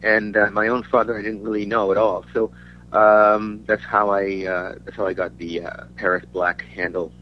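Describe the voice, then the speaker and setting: Male musician from Denmark, low voice, halting interview chat, muffled recording with faint hum. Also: flat delivery. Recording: dull lo-fi audio with faint hum.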